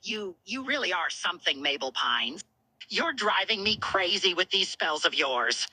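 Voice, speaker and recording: A playful, seductive cartoon villain voiced by a woman in a romance fan dub. The voice is sharp and very bright, with a husky edge. He sounds smitten and keeps teasing. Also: fairly low pitch, very animated, unhurried, dry recording, exaggerated voice, low-quality recording.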